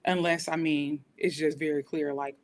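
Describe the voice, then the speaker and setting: A woman with an American accent and a fairly low voice speaks as an interview guest. She explains things reflectively in a conversational, storytelling way, peppered with "you know." There is faint rumbling noise behind her. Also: level tone, moderate pace. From United States. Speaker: podcast guest, police dispatcher.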